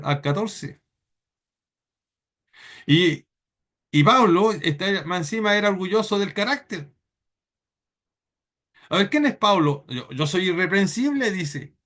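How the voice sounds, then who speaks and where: A man from Chile, preaching a sermon in church in an earnest, halting way with many repeated words. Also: high-pitched, a bit bright.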